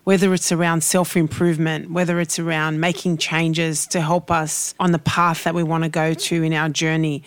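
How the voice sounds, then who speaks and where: A woman hosting a podcast, talking in an effusive, praising, warm way. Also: lowish voice, no echo. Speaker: podcast host. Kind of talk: casual podcast chat.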